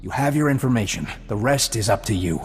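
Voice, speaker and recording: An actor playing a stern, bitter intelligence officer in a video game, curt and accusing. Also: male voice, lowish voice, lively intonation, fairly quick, slight background noise, close to an everyday voice. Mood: cold, curt. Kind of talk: video game cutscene acting.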